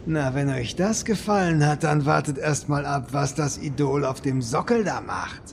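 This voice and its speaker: A man's voice: expressive, normal speed. A man playing an obliging, bumbling henchman with a servile, hesitant manner in a German comedy audio drama. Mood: servile, matter-of-fact. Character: obliging, bumbling henchman guiding guests through traps. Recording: clearly noisy with low rumble.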